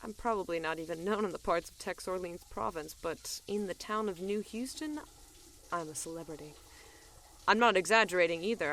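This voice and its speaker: Audio drama lead: tough, cool bounty hunter woman, played by an actress, fairly low register, dry wit, deadpan conversational delivery. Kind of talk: audio drama, first-person narration and dialogue.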